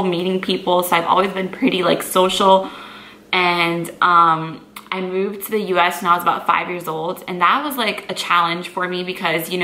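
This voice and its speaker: A woman's voice: lowish voice, pushed and bright. A woman in her twenties from Brazil, a native Portuguese speaker, chatting easily to camera in a Q&A and using lots of "like.". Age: 20s. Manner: chatty, easygoing, peppered with "like". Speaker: lifestyle vlogger. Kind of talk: casual Q&A chat to camera. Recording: echoey room, faint background noise.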